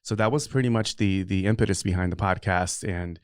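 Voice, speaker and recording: A man's voice: low voice, brisk, smooth, dry recording, clean studio sound. A middle-aged man speaking as a podcast consultant guest, answering interview questions in an earnest, rambling way with many fillers.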